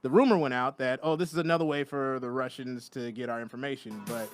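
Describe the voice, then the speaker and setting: A man's voice: medium pitch, fast, music in the background. A young adult man hosting a comedy podcast, laid-back, profane and joking in casual chat. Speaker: podcast host.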